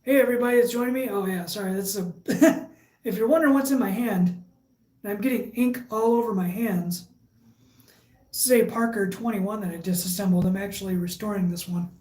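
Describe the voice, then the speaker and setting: A woman with a Michigan accent hosting a casual live stream, chatting with viewers in a relaxed, halting way with lots of fillers and restarts. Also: mid-range voice. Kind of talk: casual live chat, reading viewer comments. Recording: echoey room.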